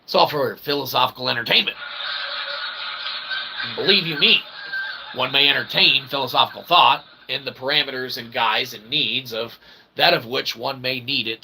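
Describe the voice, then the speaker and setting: A man hosting a philosophy show with a rambling monologue, wordy and mock-formal, in a gravelly voice with pompous archaic phrasing. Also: mid-range voice, sharp tone. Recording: clearly noisy recording.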